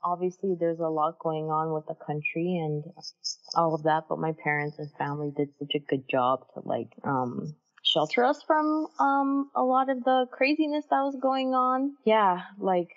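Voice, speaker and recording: A woman in her thirties, originally from Armenia, telling stories from her life in a relaxed interview chat with lots of fillers. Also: on the low side, normal speed, muffled recording. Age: 30s. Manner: chatty, warm storytelling with many fillers. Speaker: guest, character designer.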